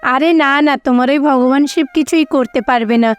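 A woman's voice: sits high, a little fast, soft background music, lightly acted. A woman voicing a scolding, practical middle-aged wife in a cartoon story, nagging in an exasperated manner.

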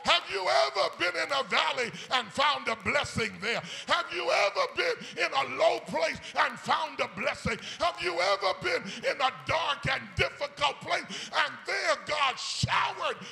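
A man's voice: very high voice, lively intonation, measured pace, vocal fry, hardly any pauses, pushed and bright, faint music behind. An older man preaching a sermon, chanting and whooping, calling on the congregation to answer back. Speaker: pastor. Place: church sanctuary.

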